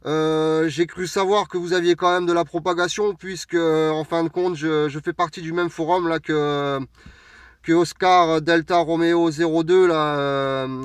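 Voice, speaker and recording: High, clear-voiced Frenchman on radio. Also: no echo. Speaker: mobile radio operator. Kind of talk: friendly radio contact chat. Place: inside a parked vehicle. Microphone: radio hand microphone, recorded close by.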